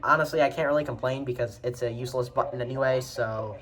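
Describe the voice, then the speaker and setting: A man's voice: mid-range voice, fairly even intonation, fast, a bit bright. A young man hosting an off-the-cuff show-and-tell, rambling and repeating himself with blunt opinions. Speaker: video host showing a radio collection.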